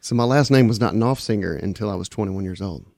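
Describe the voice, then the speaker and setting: A man's voice: lowish voice, fast, gravelly, a little mellow, no room sound, clean studio sound. A man guest on a casual podcast, telling long stories with many restarts. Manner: rambling, self-interrupting storyteller.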